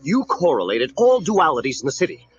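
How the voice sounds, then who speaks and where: A theatrical villain, wildly swinging pitch. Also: male voice, big pitch swings, brisk, slightly rough. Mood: calculating, gloating. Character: theatrical split-personality male crime boss villain, menacing and gloating. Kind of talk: audio drama acting. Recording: dull lo-fi audio drama, music behind.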